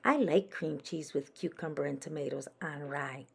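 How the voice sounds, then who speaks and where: Woman as a contented, deliberate schoolboy animal. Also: low voice, vocal fry, breathy edge, exaggerated voice. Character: contented schoolboy animal, fussy lover of lunch.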